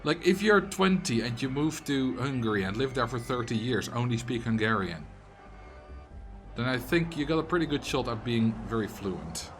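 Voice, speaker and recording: A man's voice: mid-range voice, expressive, moderate pace, faint music behind. A man with a Dutch accent, a streamer chatting casually and answering chat messages while he plays a game. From Netherlands.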